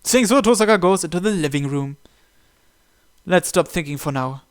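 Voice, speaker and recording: Guy kinda high voice reading visual novel narration out loud for his game channel. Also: animated, moderate pace, clean tone. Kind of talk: narration read aloud from a game script.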